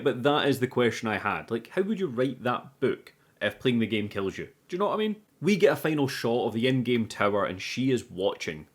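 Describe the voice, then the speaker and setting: A young man talks through a film in a casual, comedic recap. His voice sits at an average pitch for a man. He is irreverent and chatty, swearing freely and dropping cheeky asides as he goes. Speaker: host of a film review channel.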